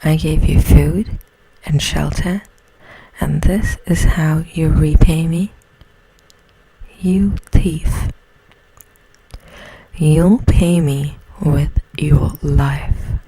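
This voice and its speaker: A woman's voice: low voice, steady tone, extremely slow, pauses often, mellow, husky, exaggerated voice, rough recording. A woman voicing a hideous, menacing male beast in a whispered bedtime storybook reading, accusing and threatening.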